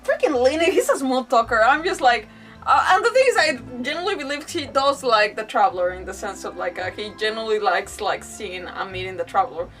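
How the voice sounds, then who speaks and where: A woman's voice: on the high side, lively intonation, a little fast, a bit bright. A woman game streamer chatting casually and commenting on her play in a rambling, hesitant way. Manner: rambling, hesitant, mild substitute swearwords. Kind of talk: casual livestream chat, gameplay commentary. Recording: distant mic, faint music behind. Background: faint game music.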